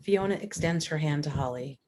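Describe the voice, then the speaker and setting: A woman's voice: low voice, moderate pace, darkish tone. A woman reading scripted stage directions as narrator at a screenplay table read.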